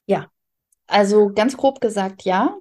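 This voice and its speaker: A woman's voice: mid-range voice, unhurried, warm tone. A woman writer explaining her work in conversational interview answers. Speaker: interviewed audio drama writer.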